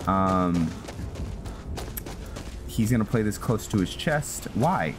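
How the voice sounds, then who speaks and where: A man giving casual roleplay game commentary, halting as he thinks aloud. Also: lowish voice, animated, background noise. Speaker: gameplay host and roleplayer. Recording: loud music behind the voice.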